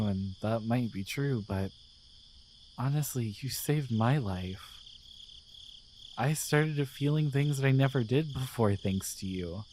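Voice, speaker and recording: A man playing a gentle, devoted guardian angel in a romantic ASMR roleplay, speaking in a tender, hesitant, reassuring way. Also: mid-range voice, slight background noise, natural voice. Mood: warm, grateful. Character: gentle, devoted male guardian angel in love with his human.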